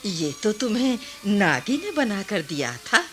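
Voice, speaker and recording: Slow-spoken, reproachful old village grandmother. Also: medium pitch, sing-song, over music. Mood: wistful questioning. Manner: emphatic, repeating, chiding. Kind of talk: Hindi radio drama acting.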